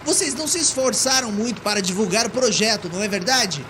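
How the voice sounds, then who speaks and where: Woman voicing an exasperated man over clear noise. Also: a little high, expressive, fast talker, pitched above the performer's own voice, natural voice. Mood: sarcastic. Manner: indignant, sardonic. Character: exasperated, sarcastic, stubborn ordinary male homeowner. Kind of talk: audiobook character dialogue.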